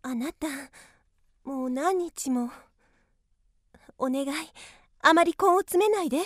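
A woman's voice: high-pitched, takes it slowly, no echo, studio quality. A woman playing a worried mother and devoted wife in an earnest, emotive radio drama. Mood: pleading.

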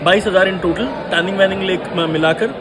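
Young man, high voice, blunt heated talk, phone mic, loud crowd noise. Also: fairly even intonation, fairly quick. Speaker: bodybuilding competitor. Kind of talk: angry complaint on camera. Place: crowded indoor expo hall. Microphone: phone held at arm's length.

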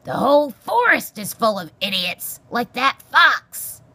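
A woman's voice: on the high side, sing-song, unhurried, bright, forceful tone, slightly rough, voice pushed up, full character voice. A woman voicing a grumpy old male porcupine in a storybook, barking scornful insults in a growling bluster. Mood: irritable.

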